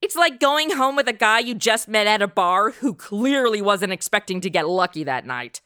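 A woman's voice: medium pitch, lively intonation, moderate pace, sharp tone, clear, resonant tone. A woman playing a sassy, entitled modern princess in a comic radio play, sarcastic, slangy and exasperated. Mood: disgusted.

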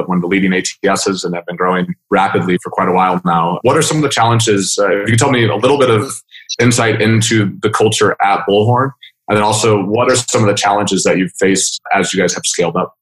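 Man, quick pace, deep-pitched, casual interviewer, podcast host. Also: rough recording.

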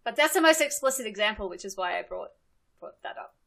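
A woman's voice: medium pitch, lively intonation, fast talker, gravelly, sharp tone. A woman in her thirties with an Australian accent, a guest chatting on a podcast and often laughing. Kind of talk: casual podcast chat.

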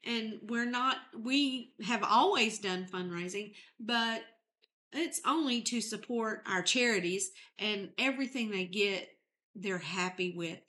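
A woman's voice: mid-range voice, measured pace, pushed and bright. A woman in her sixties, a guest on a podcast, chatting candidly and laughing often. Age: 60s. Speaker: podcast guest, entertainment company head. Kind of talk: casual interview chat.

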